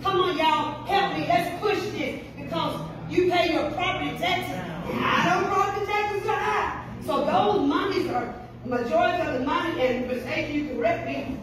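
A middle-aged woman with a Southern US accent gives an impassioned, colloquial campaign speech as a political candidate. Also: high-pitched. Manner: impassioned, emphatic, colloquial public speaking. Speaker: political candidate, town councilwoman. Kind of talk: campaign speech at a community gathering. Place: medium-sized banquet room, indoors. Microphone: camera a few metres away at a dining table. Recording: roomy, distant, noisy phone recording from audience.

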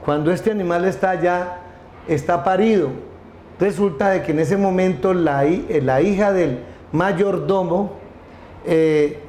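A middle-aged man tells a long story as a guest, stumbling and restarting often. His voice sits a little high. The room gives it a noticeable echo, with faint noise underneath. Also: measured pace, darkish tone. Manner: rambling, many restarts and repeated words. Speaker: company founder, interview guest. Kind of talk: casual storytelling interview. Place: room with wooden wolf statue, indoors.

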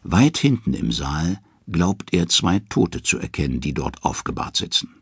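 A man narrating a mythic tale in dramatic, scripted storytelling style with a gravelly, husky voice. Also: extremely low pitch, big pitch swings, measured pace, dry recording, studio quality.

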